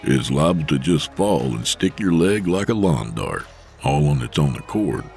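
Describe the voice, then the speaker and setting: A man voicing a menacing tough guy with a deep, growling rumble. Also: expressive, normal speed, creaky, a little mellow, soft background music, full character voice.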